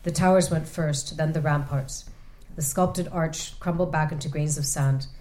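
A woman author answering audience questions about her novel, speaking thoughtfully with many pauses and restarts. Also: low voice, fairly even intonation, a little mellow. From United States. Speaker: author at a reading. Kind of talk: book talk and audience Q&A. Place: event room with an audience.

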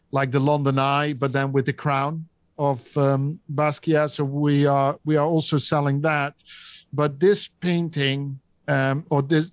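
A man's voice: a little high, no echo. A man with a Dutch accent, an auction house specialist explaining an artwork in an interview, hesitating often with many ums and restarts. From Netherlands.

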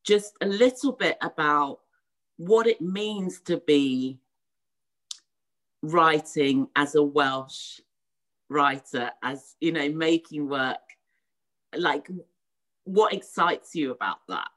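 A woman moderating a panel, enthusiastic and halting, with many restarts. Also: low voice, fairly bright tone. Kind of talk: hosting a panel talk.

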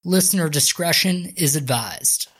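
High-voiced man announcing an audio drama. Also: lively intonation, a little slow, over music. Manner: formal, presentational.

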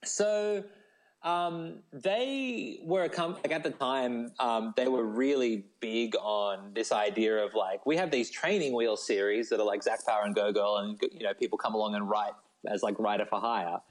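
A man's voice: lowish voice, moderate pace, rough recording. An Australian man speaking as an interview guest, in a casual, discursive way peppered with "like" and "you know". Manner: informal, discursive, frequent 'like' and 'you know'.

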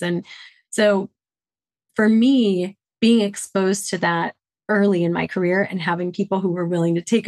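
A woman's voice: lowish voice, no echo. A middle-aged woman from Detroit, a podcast guest talking in an earnest, reflective way about her upbringing and her work. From Detroit, Michigan, USA. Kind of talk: conversational interview answers.

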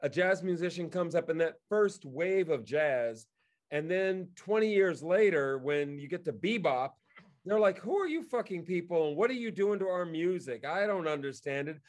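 A man talking casually as he tells stories, in an animated way, acting out remarks that people made. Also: a little high, normal speed. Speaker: guest co-host and theatre director. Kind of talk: casual storytelling in conversation.

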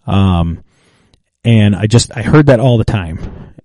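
A man with a mellow, warm voice who speaks slowly and carefully, pausing often and restarting his sentences. The sound is dry and close, with no room behind it. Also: lowish voice, lively intonation, no room sound. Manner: thoughtful, halting, with restarts and fillers. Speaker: podcast co-host. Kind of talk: casual chat.

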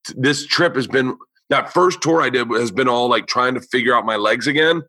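Bright-voiced profane male podcast host. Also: medium pitch, normal speed, dry recording. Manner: loose, profane storytelling with quoted voices. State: losing his voice. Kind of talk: casual chat.

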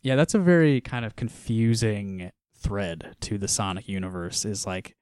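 Easygoing male podcast co-host, low voice, dry close recording. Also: moderate pace, clear, resonant tone, no room sound. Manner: relaxed, laughs often, trails off quietly. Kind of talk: casual chat reviewing a comic.

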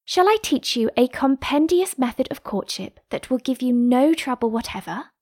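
A woman's voice: high voice, animated, moderate pace, darkish tone, no room sound, clean studio sound. A woman playing a coquettish young lady in a classic novel reading, playful, arch and sweetly mocking.

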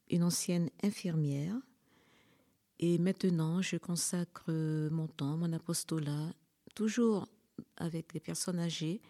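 Soft-toned nun from French Guiana. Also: female voice, on the low side. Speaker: religious sister and hospital chaplain. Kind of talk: radio interview.

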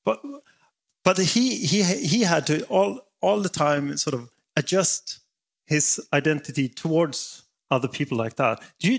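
A man interviewing a guest, talking in a halting way and often repeating words as he searches for them. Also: a little high, creaky. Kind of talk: interview conversation.

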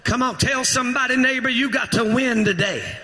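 A man preaching a fervent sermon in a church, his voice strained from shouting and rousing the congregation to respond. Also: very high-pitched, brisk. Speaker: pastor. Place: church sanctuary. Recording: echoey church hall reverb.

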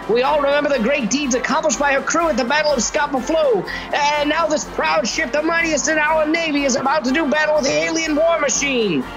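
High-pitched, melodramatic man playing a radio reporter. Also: low-quality recording. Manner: stammering, breathlessly melodramatic. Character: excitable, bumbling male radio field reporter with lurid flourishes. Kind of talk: 1930s radio news acting, spoofed.

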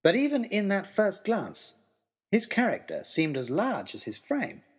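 A man narrating an old-fashioned first-person adventure story in a theatrical way, with deliberate dramatic pauses. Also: sits high, huge pitch swings, husky. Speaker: narrator of a serial audio story.

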